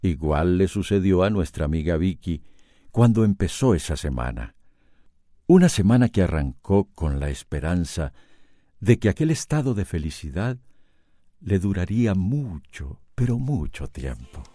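A man's voice: deep voice, very animated, normal speed, dark tone, no room sound, clean studio sound. A man with a Costa Rican accent narrating a radio soap as a reflective storyteller. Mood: musing. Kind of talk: radio drama narration addressed to listeners.

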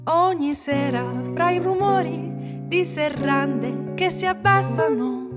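High, slow woman singer over guitar. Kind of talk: singing a folk-style theatre song. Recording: loud guitar music behind.

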